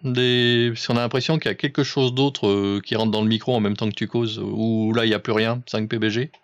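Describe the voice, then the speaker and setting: Man, lowish pitch, casual instructive radio chat, amateur operator coaching, slightly dull audio. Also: steady tone, fairly quick, dry recording. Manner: informal, instructive.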